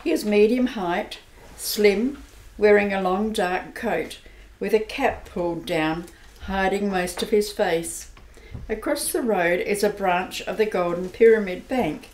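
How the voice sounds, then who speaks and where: A woman giving steady, plain narration for an amateur radio play. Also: medium pitch, a little slow, poor audio.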